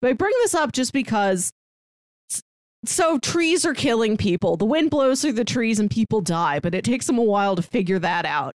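A woman's voice: fairly high pitch, lively intonation, moderate pace, dry recording. A woman podcast co-host chatting casually about films and shows in a wry, talkative way.

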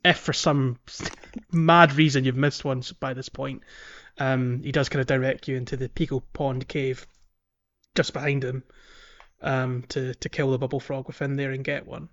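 A man's voice: mid-range voice, level tone, normal speed, fairly bright tone, lo-fi sound. A man hosting a gaming podcast in casual conversation, explaining things in a hesitant, rambling way. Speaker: podcast host.

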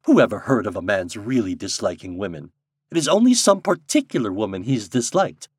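A man voicing a merry, headstrong society woman in a storybook, with a brisk, playful manner. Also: fairly low pitch, very animated, slightly rough, no room sound, clean studio sound. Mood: merry, defiant.

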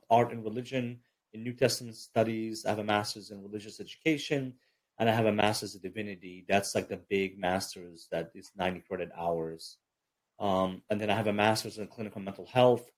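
Low-voiced man from Egypt, chatty. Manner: relaxed, chatty, self-deprecating with fillers. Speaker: army chaplain and counselor. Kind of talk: casual interview talk.

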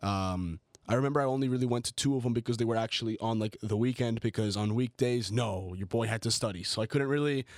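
A man's voice: medium pitch, dry recording, studio quality. Young man, talk host, informal slangy storyteller, casual chat, very little room sound. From Colombia and the United States. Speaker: show host.

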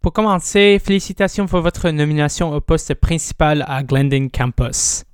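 A man's voice: fairly high pitch, normal speed, clear, resonant tone, dry recording, clean studio sound. A man hosting a community radio show, asking polite, formal questions from a script. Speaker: radio host. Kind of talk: radio interview.

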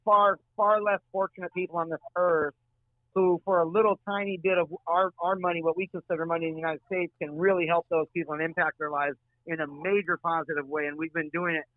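A man's voice: a little high, little pitch movement, fairly quick, dry recording, narrow, tinny audio. A man from Syria talking as a podcast guest in an impassioned, emphatic and insistent way, over thin remote call audio. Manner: emphatic, insistent, repeats phrases for force. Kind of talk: impassioned casual discussion.

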